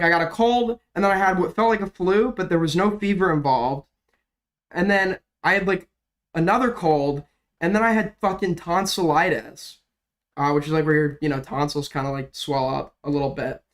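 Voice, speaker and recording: A man's voice: fairly high pitch, fairly bright tone. A young man doing casual, rambling commentary on a livestream, sick and coughing, his voice cracking now and then, with lots of fillers and swearing. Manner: rambling, profane, filler-heavy. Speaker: drama commentary streamer.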